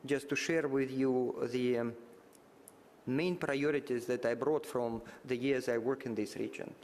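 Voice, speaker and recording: A man whose first language is Russian, giving formal remarks in English at a conference side event, halting and measured with frequent pauses. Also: mid-range voice, a little mellow, slight background noise. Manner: halting, many filler sounds and restarts, measured. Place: large conference hall. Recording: hall reverb with faint ambience.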